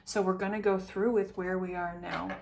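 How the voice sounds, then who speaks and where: A woman's voice: medium pitch, flat delivery, moderate pace, creaky, a little mellow, slight background noise. A woman giving an intuitive reading straight to camera, meandering and chatty, musing aloud as images come to her. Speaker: tarot reader.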